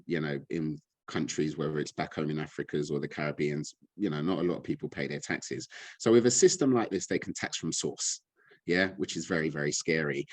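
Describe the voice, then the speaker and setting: A man's voice: low voice, dry recording. A man, speaking as a guest, ranting in a frustrated, blunt, impassioned way. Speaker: guest speaker on money and gold. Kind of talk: impassioned casual talk.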